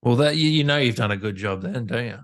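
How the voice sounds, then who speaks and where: A man's voice: medium pitch, expressive, fast, a bit bright, no echo. Male interviewer, mid-range voice, casual chat delivery, dry recording. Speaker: interviewer, podcast host.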